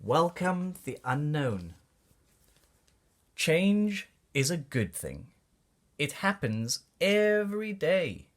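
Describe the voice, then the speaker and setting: Male storybook reader for young kids, lively and varied intonation, unhurried pace, expressive phrasing. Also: fairly high pitch. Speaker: children's book reader. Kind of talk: storybook read-aloud for kids.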